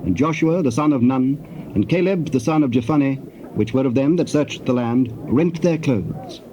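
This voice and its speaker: A man's voice: mid-range voice, normal speed, dark tone. A man narrating scripture in a formal scripted style. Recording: muffled, noisy old tape.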